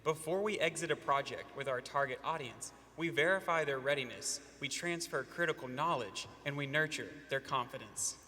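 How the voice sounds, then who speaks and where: A man's voice: mid-range voice, normal speed, hardly any pauses, bright, forceful tone, big-room sound. A man from Arkansas giving a rehearsed student presentation with a confident, emphatic delivery. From Arkansas, USA.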